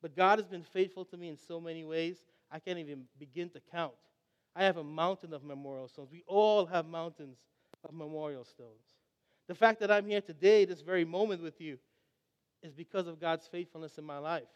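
Man, high voice, sermon, earnest and reflective, long hall reverb. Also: a little fast, highs cut off. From Trinidad and Tobago. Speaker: preacher.

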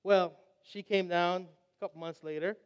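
Man, high voice, sermon, earnest and reflective, long hall reverb. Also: steady tone, normal speed, smooth. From Trinidad and Tobago. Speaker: preacher.

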